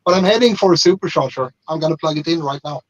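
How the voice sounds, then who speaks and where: Man, caller, slightly high voice, blunt, hesitant, dull lo-fi call audio. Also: quick pace. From Sweden. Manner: blunt, hesitant with many uh's. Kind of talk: casual chat. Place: driving a car.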